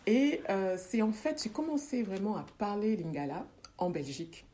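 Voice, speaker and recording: A woman's voice: medium pitch, normal speed, mellow, slight background noise. A woman in her forties with a French accent, a guest in a casual interview, who talks with many hesitations and repeated words. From France. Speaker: guest, ritual practitioner and former nurse.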